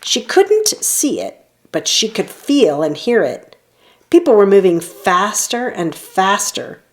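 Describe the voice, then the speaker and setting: A woman reading a children's story aloud in an expressive storytelling manner, leaning on key phrases. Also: mid-range voice, slow pace. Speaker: storybook reader. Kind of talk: children's read-aloud narration.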